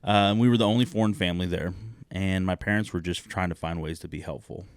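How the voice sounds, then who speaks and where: A young man who grew up in China, a podcast guest, recalling his upbringing in a measured, reflective way with frequent fillers and restarts. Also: low voice, steady tone, clean tone, no echo. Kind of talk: reflective personal storytelling.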